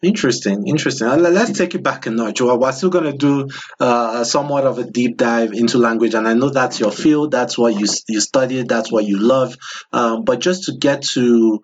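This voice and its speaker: A man's voice: mid-range voice, brisk, breathy edge. A man hosting a podcast interview, asking curious, encouraging questions. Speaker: podcast host. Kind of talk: interview chat. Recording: lo-fi recording.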